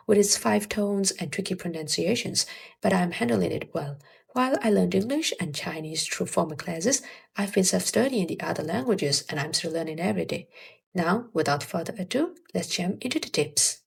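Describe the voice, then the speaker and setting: Young woman with very creaky voice. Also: on the low side, animated, normal speed. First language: Burmese. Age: early 20s. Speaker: language-learning vlogger. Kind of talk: scripted advice narration to viewers.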